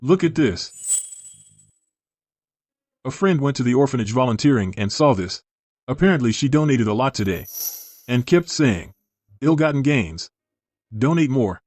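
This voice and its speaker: An actor playing an earnest young assistant who reports in a low-key way. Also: male voice, expressive, normal speed, long pauses, a little mellow, dry recording, natural voice. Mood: reporting. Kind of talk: dubbed drama acting.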